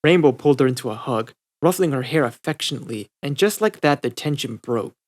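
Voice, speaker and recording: A man's voice: mid-range voice, expressive, a little fast. A man reading scripted fiction aloud as an audiobook narrator.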